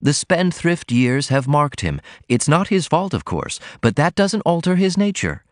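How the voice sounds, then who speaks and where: A man reading aloud, voicing a proper middle-aged mother who speaks in measured, disapproving tones. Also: on the high side, very animated, no room sound, clean studio sound, pitched above the performer's own voice. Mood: stern.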